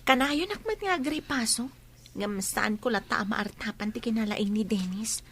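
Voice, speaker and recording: A woman's voice: a little high, moderate pace, fairly bright tone, a little hiss or hum. A woman with an Ilocano accent playing a chatty, admiring young student in an audio drama. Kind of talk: Ilocano radio drama acting.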